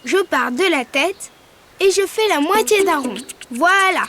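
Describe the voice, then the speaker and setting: A woman voicing a cheerful young male cartoon bird who patiently guides children through drawing, over loud music. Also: very high-pitched, animated, unhurried, a little hiss or hum, full character voice. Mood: upbeat. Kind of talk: preschool cartoon voice acting. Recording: loud background music track.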